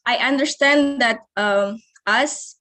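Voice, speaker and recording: Slow-talking Indonesian woman teaching English. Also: a little high, sharp tone. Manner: hesitant, many fillers and restarts. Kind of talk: English lesson.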